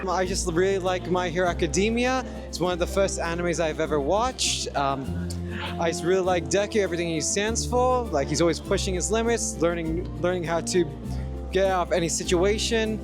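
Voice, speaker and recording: A man from Australia with a high voice answers an interviewer casually. Music is clearly heard behind him. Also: normal speed. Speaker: cosplayer being interviewed.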